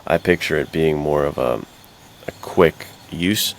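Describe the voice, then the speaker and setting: A man's voice: very deep voice, unhurried, slight background noise. A young man co-hosting a podcast, chatting in a slangy, informal, loosely rambling way. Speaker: podcast co-host. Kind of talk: casual chat.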